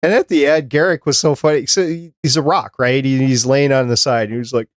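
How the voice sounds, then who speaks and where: A man's voice: medium pitch, fairly even intonation, brisk, no echo, clean studio sound. A man hosting a podcast, chatting casually through a review and putting questions to the others. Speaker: podcast host. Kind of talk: casual review discussion of a cartoon.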